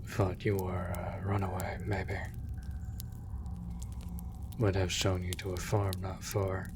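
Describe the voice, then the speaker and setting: Man acting a hushed, slow, low-voiced monster hunter. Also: flat delivery, noisy background. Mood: gently concerned. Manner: hushed, breathy, measured, reassuring. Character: gruff, protective male monster hunter, stoic but gentle. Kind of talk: slow, intimate comfort roleplay audio drama. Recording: loud rain and cave ambience. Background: rain in a cave.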